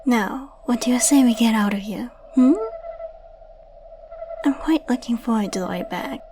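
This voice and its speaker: Woman acting a high-voiced, flirty secret agent. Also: moderate pace, noisy background, natural voice. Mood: flirtatious. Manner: coy, teasing, chatty with tag questions. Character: playful, flirtatious female secret agent, affectionate and teasing. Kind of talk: scripted ASMR roleplay, addressing the listener. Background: ambient sound effects.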